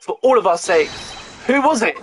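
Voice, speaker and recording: A streamer voicing an earnest young male defense lawyer in a courtroom video game, pressing with forceful delivery. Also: medium pitch, animated, moderate pace, a little hiss or hum, voice pushed up, lightly acted. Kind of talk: reading game dialogue aloud on stream.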